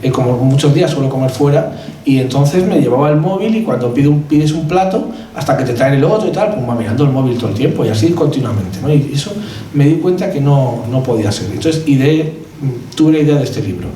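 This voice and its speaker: Halting Castilian man in an echoey room. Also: mid-range voice, animated, warm tone. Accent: Castilian Spanish. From Madrid, Spain. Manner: halting, rambling, hesitant with repeated words. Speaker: author, poet presenting his book. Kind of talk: informal book presentation talk, anecdotal. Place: event hall at a cultural centre. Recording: roomy echo, distant mic, background noise.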